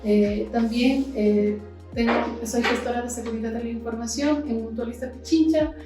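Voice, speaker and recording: A woman's voice: fairly high pitch, fairly even intonation, normal speed. A woman whose first language is Kichwa, a guest engineer introducing herself formally and carefully. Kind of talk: self-introduction. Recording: echoey room, distant mic, faint music.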